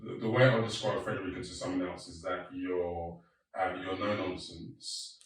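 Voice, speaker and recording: A man hosting an interview, asking questions softly and with hesitant restarts. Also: deep voice, steady tone, normal speed. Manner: soft, hesitant, repeats words when starting questions. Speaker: interview host. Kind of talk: casual conversational interview. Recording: very echoey room, far from the mic.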